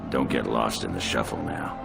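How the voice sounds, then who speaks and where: An actor playing a gruff, hard-drinking dwarf warrior in a video game, crude and jovial, with a gravelly voice. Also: male voice, a bass voice, expressive, normal speed, heavily acted. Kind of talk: video game character dialogue. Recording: loud music behind. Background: loud music.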